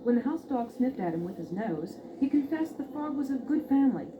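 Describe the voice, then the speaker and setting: Woman narrator, animated high-ish voice, expressive fairy-tale reading, noisy old record. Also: a little fast, muffled recording.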